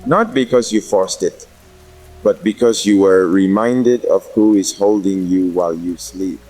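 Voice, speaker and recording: Man, low pitch, gentle unhurried meditation narration, deliberate pauses, soft music bed with rain. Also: rough recording.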